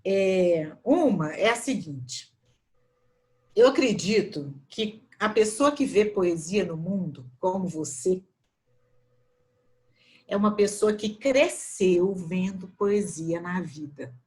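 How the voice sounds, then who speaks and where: A woman hosting a conversation, asking long, measured questions and praising her guest. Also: fairly low pitch, lively intonation, darkish tone. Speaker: host. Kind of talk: interview questions.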